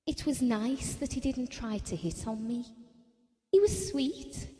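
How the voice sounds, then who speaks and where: Chatty down-to-earth wife, audio drama, soft mellow voice, takes her time, echoey hall. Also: a little high, animated, unhurried. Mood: fond, reminiscing. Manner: colloquial, confiding. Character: chatty, down-to-earth working-class wife reminiscing about her marriage.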